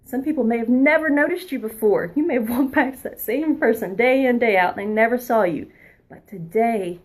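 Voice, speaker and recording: A woman past her twenties giving a spiritual oracle card reading, earnest and encouraging, sometimes dropping into a soft whisper. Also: on the high side, moderate pace. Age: adult past her twenties.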